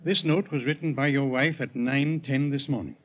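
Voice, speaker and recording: An actor playing a stern, businesslike ship's captain, formal and authoritative in 1940s radio style. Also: male voice, medium pitch, steady tone, moderate pace, lightly acted. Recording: tinny, band-limited 1940s radio recording.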